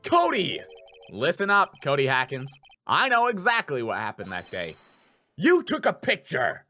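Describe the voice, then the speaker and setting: Man acting a theatrical, accusing defense lawyer, lively pitch. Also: a little slow, soft background music, exaggerated voice. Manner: dramatic, declamatory.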